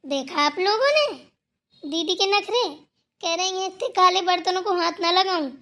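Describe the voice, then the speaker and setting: A woman plays a hardworking, outspoken younger daughter-in-law in a village family cartoon. Her voice sits extremely high with a crisp, bright sharpness. She answers back teasingly and likes to moralize. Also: normal speed. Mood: moralizing. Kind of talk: acted dialogue in Hindi family cartoon.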